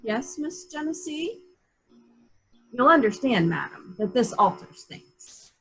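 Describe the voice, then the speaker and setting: A woman playing a brisk, authoritative police inspector, questioning in a measured, probing way in a murder mystery reading. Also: fairly high pitch, very animated, a little hiss or hum, exaggerated voice, rough recording. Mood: pointed.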